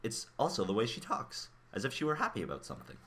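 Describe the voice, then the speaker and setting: Man playing an excitable, animated researcher. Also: lowish voice, fast, exaggerated voice. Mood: agitated, chatty. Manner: animated, exclaiming, informal. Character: sleep-starved male robotics researcher, excitable and gossipy. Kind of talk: radio play acting.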